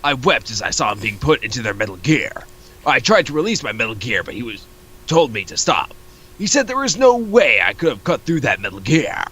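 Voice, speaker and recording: A man's voice: medium pitch, sing-song, fairly quick, sharp tone, husky, exaggerated voice, low-quality recording. A man voicing a grief-stricken creature sworn to revenge, solemnly and melodramatically, in a comic reading of a webcomic. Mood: solemn, vengeful.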